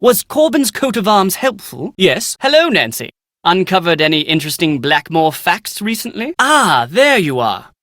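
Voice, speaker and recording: An actor playing a pompous, excitable British scholar and would-be author in a video game, speaking in bookish, theatrical diction. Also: male voice, high-pitched, normal speed, no room sound, studio quality, exaggerated voice. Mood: cheerful greeting, then formal, courteous. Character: Nigel Mukherjee, pompous, excitable British male scholar writing family history. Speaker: researcher and aspiring author. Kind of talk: video game character dialogue.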